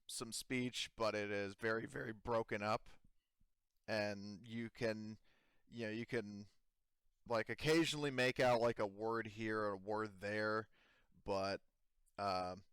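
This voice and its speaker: A man with a fairly low, clean voice leads a tabletop roleplaying game. He improvises the narration in an informal, halting way. The sound is dry, with little room in it. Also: fairly bright tone, clear, resonant tone, no echo. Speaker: game master.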